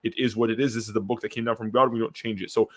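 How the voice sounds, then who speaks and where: A man who grew up in Syria, co-hosting a podcast and talking quickly in a loose, conversational way with frequent restarts and fillers. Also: fairly low pitch. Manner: fast, animated, lots of restarts and fillers. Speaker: podcast co-host. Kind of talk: casual conversational podcast chat.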